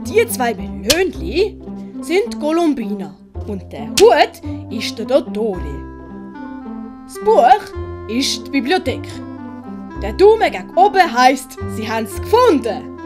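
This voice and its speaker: Woman doing a high, childlike clown servant. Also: big pitch swings, slow, long pauses, over music, exaggerated voice. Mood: eager explaining. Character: sweet, simple, cheerful male clown servant. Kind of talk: German audiobook commedia dell'arte acting.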